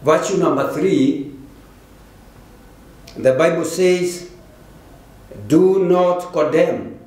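An older man with a Kenyan accent, a pastor preaching a sermon slowly and deliberately into a pulpit microphone in a large church. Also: fairly high pitch, darkish tone. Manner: slow, deliberate preaching with repeated phrases. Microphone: stand mic at the pulpit. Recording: very echoey church hall.

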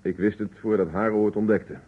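A defensive, sardonic suspect in an old radio play. His voice is low and very husky, with articulate, dry delivery. The recording is muffled and narrow like an old broadcast. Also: fairly even intonation, a little fast. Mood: defiant. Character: defensive, indignant man being questioned, candid and sardonic. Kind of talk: vintage Dutch radio drama acting.

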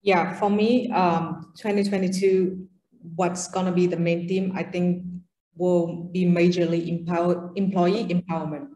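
A woman's voice: lowish voice, little pitch movement, unhurried. Woman on a video call in an echoey room. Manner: fluent with many soft ums and uhs. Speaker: panelist, venture associate. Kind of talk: online panel discussion.

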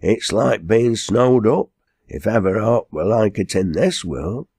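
A man's voice: lowish voice, expressive, measured pace, a little mellow, husky, dry recording, clean studio sound, pitched above the performer's own voice, full character voice. A man voicing a blunt, hearty rural quarryman in a storybook, with emphatic Lancashire dialect. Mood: blunt, wry.

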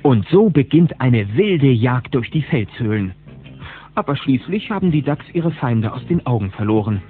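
An actor as a lively storyteller, narrating a scripted children's adventure audio drama. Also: male voice, medium pitch, moderate pace, breathy edge, faint music behind. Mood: storytelling. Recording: tinny band-limited old cassette.

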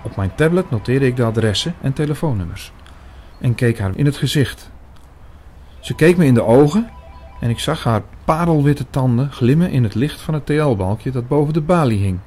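A man narrating a radio play in the first person, measured and literary in his storytelling. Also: medium pitch, animated, a little mellow, soft background music. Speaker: narrator and main character.

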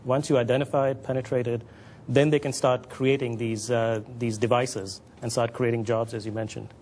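Measured man explaining on a panel. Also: mid-range voice, level tone, a little mellow. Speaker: panel guest, engineering academic. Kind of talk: studio panel discussion. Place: TV studio panel desk. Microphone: lapel. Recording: clear background noise.

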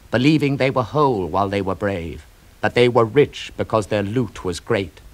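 A man reads an epic poem aloud. His voice sits low, and he takes each phrase slowly, with a measured, dramatic delivery. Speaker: narrator. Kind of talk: scripted poetry reading.

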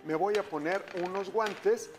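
A man's voice: high-pitched, a little slow, music in the background. A middle-aged man hosting a TV cooking show, chatting with viewers as he cooks in a studio kitchen. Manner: upbeat, chatty, keeps calling viewers "familia". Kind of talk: cooking demonstration, addressing viewers.

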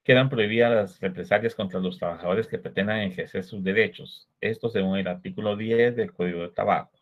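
A man's voice: on the low side, a little fast. A man in his thirties with a Guatemalan Spanish accent, giving an online law lecture and asking rhetorical questions. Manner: explanatory, poses rhetorical questions. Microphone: webcam video call. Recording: lo-fi video call audio.

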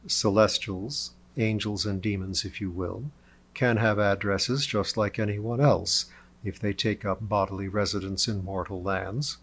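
A man voicing a calm, courteous male celestial peacemaker in a storybook, soft-spoken, measured and formal. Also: fairly low pitch, husky, voice pushed down, full character voice. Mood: polite, measured.

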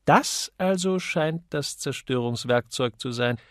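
A man voicing a gallant, courteous gentleman in an audiobook, casually inquisitive in manner. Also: mid-range voice, measured pace, no echo, clean studio sound, natural voice. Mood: gallant, probing.

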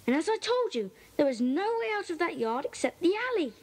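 A woman's voice: sits high, big pitch swings, fairly quick, a little mellow. A woman with a British accent playing an earnest, eager schoolboy detective in a children's audio drama, telling his story in careful detail. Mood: earnest, intrigued.

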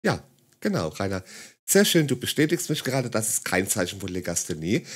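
Sarcastic low-voiced male commentator. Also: sing-song, a little fast, breathy edge. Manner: sarcastic, condescending. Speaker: satirical commentator. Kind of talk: mocking video commentary.

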